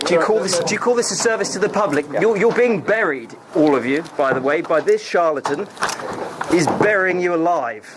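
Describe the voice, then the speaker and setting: A man's voice: high voice, animated, fairly quick, over a noisy crowd. A man, a student, angrily confronting a lecturer over his grade with accusatory ranting.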